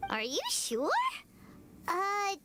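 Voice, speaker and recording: Squeaky chirpy fairy sidekick, bouncy and very high, over loud game music. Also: female voice, big pitch swings, extremely slow, exaggerated voice. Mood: worried. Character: tiny excitable fairy companion, female.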